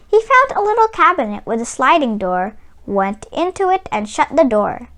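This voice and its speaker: Very high-pitched, animated woman reading a storybook. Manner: dramatic, with drawn-out words for emphasis. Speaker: children's read-aloud host. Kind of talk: expressive storybook read-aloud for children.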